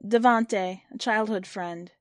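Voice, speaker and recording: A woman's voice: medium pitch, steady tone, slow, no echo, clean studio sound, close to an everyday voice. A woman voicing an evasive, flustered middle-aged mother who brushes things off in a story. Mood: deflecting.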